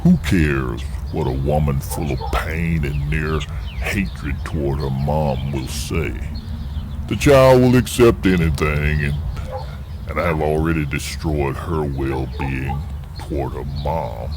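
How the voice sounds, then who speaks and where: A scornful demon, played by a man in an amateur religious audio drama. The voice is very low and sneering, with clear noise around it. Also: slow. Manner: sneering, dismissive. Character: scornful evil demon who relishes others' suffering. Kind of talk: amateur Bible-themed audio drama acting. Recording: clearly noisy homemade recording.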